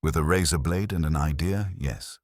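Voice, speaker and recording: A man's voice: extremely low pitch, normal speed, a little mellow, no echo. A man voicing a courteous, dry police inspector who speaks formally and precisely in a mystery story. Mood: calm, inquiring.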